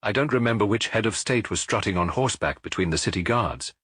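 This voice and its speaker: Man playing a merry, husky ex-pickpocket, lively and chatty. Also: lowish voice, fast, no room sound, clean studio sound. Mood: merry, familiar. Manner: jovial, garrulous, familiar. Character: merry, chatty ex-pickpocket, a reformed petty crook past his youth.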